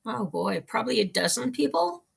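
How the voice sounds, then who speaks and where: A middle-aged woman with a Canadian accent, telling her own story casually as an interview guest, pausing to think. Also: a little high, very animated, vocal fry, breathy edge. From small-town Manitoba, Canada.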